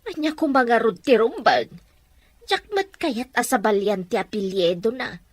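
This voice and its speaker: A woman's voice: a little high, expressive, measured pace, no room sound. A woman playing a principled older sister in an audio drama, protesting and scolding. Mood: uneasy. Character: principled older sister refusing a dishonest scheme. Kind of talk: amateur audio drama acting.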